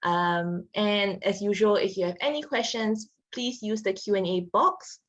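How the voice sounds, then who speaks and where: A woman's voice: medium pitch, a little slow, fairly bright tone, lo-fi sound. A woman hosting an online webinar, introducing the guest in a chatty way with many fillers. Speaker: webinar host. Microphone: video call.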